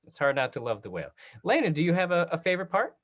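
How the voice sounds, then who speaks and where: A man's voice: on the high side, very animated, rapid-fire, dull audio. A man moderating a casual discussion in an encouraging, affirming way.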